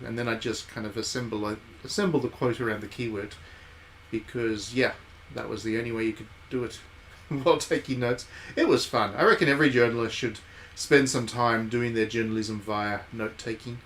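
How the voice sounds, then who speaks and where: A man's voice: mid-range voice, a bit bright. A man giving casual live commentary on a game, with wry, playful asides and some reading of on-screen text. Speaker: game streamer and former journalist. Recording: clear background noise.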